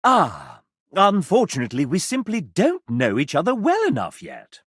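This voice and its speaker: An actor voicing a sassy, flamboyant video game host, speaking in a grandiloquent, arch and melodramatic way. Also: male voice, on the high side, wildly swooping pitch, moderate pace, a bit bright, breathy edge, no echo, clean studio sound, exaggerated voice. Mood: arch, mock-regretful. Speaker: voice actor playing a game show host character. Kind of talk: theatrical video game character acting as a game show host.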